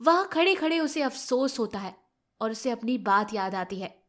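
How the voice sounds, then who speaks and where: A woman's voice: fairly high pitch, lively intonation, normal speed. A woman narrating scripted moral tales for children as a storyteller.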